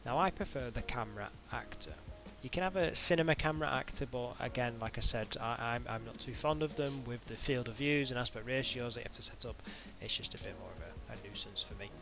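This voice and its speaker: A man narrating an informal software tutorial in a hesitant, halting way, as if thinking aloud. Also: mid-range voice, creaky, over music. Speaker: tutorial instructor.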